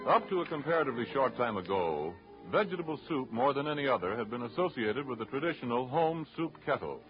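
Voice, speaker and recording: A man's voice: mid-range voice, sing-song, quick pace, music in the background. An American man reading a scripted 1930s radio advertisement in a polished, persuasive manner. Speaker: commercial announcer. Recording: dull, noisy 1930s radio recording.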